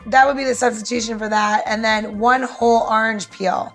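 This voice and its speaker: A woman's voice: a little high, fairly even intonation, normal speed, soft background music. A woman co-hosting a podcast, explaining a recipe step by step in an informal, instructive way. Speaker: podcast co-host and cocktail maker.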